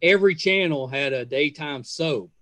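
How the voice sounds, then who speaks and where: Male podcast host, 50s, slightly high-pitched, casual and enthusiastic, halting delivery with trailing sentences. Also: sharp tone, dry recording. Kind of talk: casual interview chat.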